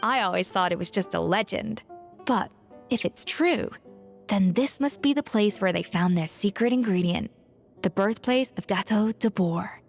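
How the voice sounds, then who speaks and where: A woman's voice: medium pitch, moderate pace, faint music behind, lightly acted. An actress voicing a thoughtful young woman investigating a mystery in a game, who speaks in a thoughtful and questioning way. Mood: pensive. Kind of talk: game dialogue voice acting.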